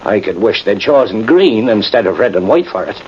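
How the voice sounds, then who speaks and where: An actor playing a proud, sentimental, tipsy Irish cavalry sergeant in a radio drama, rambling and halting with emphatic turns. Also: male voice, lowish voice, big pitch swings. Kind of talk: 1950s radio drama acting. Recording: muffled, noisy vintage radio recording.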